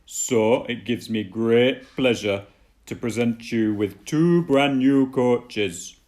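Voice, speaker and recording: A man voicing a stern, commanding male railway controller in a children's storybook, loud and authoritative. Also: slow pace, lightly acted. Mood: proud, formal. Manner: booming, authoritative.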